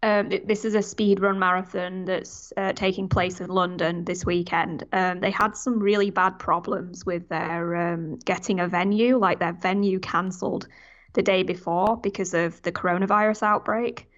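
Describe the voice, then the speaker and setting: A woman's voice: fairly low pitch, fairly even intonation, moderate pace, a bit bright, highs cut off. A young woman chatting casually and informally on a gaming podcast, rambling as she goes. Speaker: podcast panelist.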